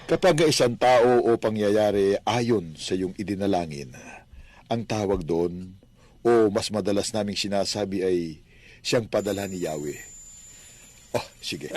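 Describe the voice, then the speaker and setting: A man acting as a kindly middle-aged Filipino father in a radio drama. His voice sits fairly low and is very husky. He speaks gently, with earnest piety and small hesitations. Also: moderate pace, dry recording, natural voice. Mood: friendly, earnest. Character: kindly, devout widowed father and boarding-house landlord.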